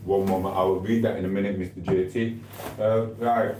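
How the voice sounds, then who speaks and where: A middle-aged man from Sheffield, speaking with a broad Yorkshire accent in a somewhat low voice. He rambles and trades mock insults with his live chat in the small hours while cooking. The sound is echoey, as if from a bare kitchen, with faint noise underneath. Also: level tone, fairly quick, mellow. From Sheffield, England. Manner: banter-heavy, mock trash-talking, rambling. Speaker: livestreamer. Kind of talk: late-night live stream chat. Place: home kitchen at night.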